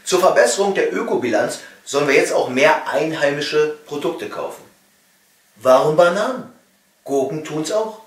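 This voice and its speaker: A middle-aged man from East Germany reading a satirical comedy monologue with wry emphasis and pointed pauses. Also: a little high, animated. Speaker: cabaret comedian and author. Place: small home study, indoors. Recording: roomy echo, distant microphone.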